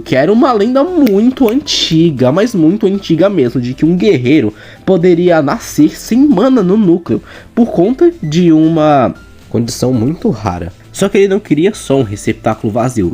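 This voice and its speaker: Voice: medium pitch, breathy edge. A young adult with a Brazilian accent explaining story lore in a casual, chatty, slangy way. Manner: informal, slangy, animated storytelling. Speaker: video creator answering viewer questions. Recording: background music behind voice. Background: music.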